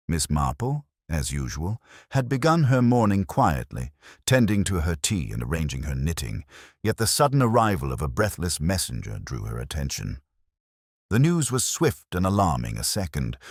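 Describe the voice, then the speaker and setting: A man narrating a scripted mystery story in a measured, formal storytelling manner. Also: low voice, dry recording, clean studio sound. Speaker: audiobook narrator.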